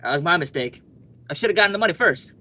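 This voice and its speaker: A man's voice: on the high side, lively intonation, fast talker, sharp tone, exaggerated voice. A male actor playing a brash, stubborn young husband in an old-time radio comedy, emphatic and blustering. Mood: exasperated.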